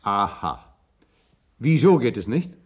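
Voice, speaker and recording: A man's voice: medium pitch, very animated, slow pace, noisy background. A man playing an uneasy professor in a radio science-fiction drama, polite but insistent, with clipped questions. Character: uneasy male professor, indignant guest who turns captive.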